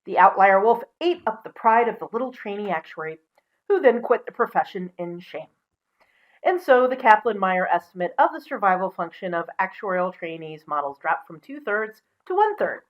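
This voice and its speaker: A woman presenting as a storyteller, mixing expressive storybook reading with casual asides. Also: mid-range voice, moderate pace, dull audio.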